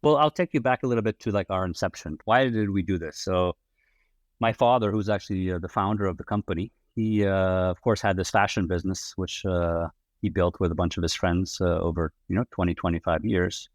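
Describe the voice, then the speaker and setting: A man in his forties from the Netherlands with a Dutch accent touched by American English, telling his story as a podcast guest in a relaxed, chatty way full of fillers. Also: low voice, fairly quick, no room sound. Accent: Dutch with American-tinged English. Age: 40s. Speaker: podcast guest, hotel brand executive. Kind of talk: casual interview storytelling.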